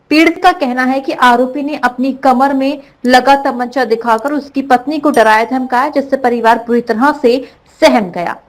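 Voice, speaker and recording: A woman reading a scripted news report in a formal, even voice-over. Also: high voice, low-quality recording. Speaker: news reader.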